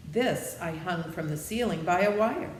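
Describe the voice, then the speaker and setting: A woman's voice: lowish voice, lively intonation, moderate pace, roomy sound, long reverb tail, a little hiss or hum, close to an everyday voice. A woman voicing a meek little humbug wizard, a trembling, apologetic man in a storybook reading. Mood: confiding. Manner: meek, pleading.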